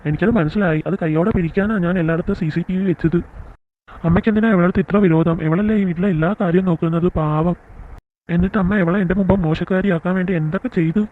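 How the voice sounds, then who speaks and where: Man acting a high-pitched, scolding cartoon son. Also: steady tone, quick pace, narrow, tinny audio, close to an everyday voice. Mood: accusing, firm. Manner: scolding, emphatic. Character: indignant, scolding young married son. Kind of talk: amateur cartoon skit acting.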